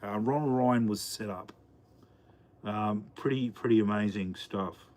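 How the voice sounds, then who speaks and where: A man's voice: lowish voice, measured pace, darkish tone. Chatty Australian man rambling on a livestream. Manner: rambling, chatty, many fillers and restarts. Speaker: livestream host. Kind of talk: casual live chat while cooking. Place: home kitchen.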